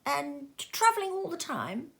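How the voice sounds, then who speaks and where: A woman's voice: sits high, wildly swooping pitch, a little slow, fairly bright tone, pitched above the performer's own voice, exaggerated voice. A woman playing a fearful old male miser in a storybook reading, imploring and humble, faltering as he speaks. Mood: humble, musing.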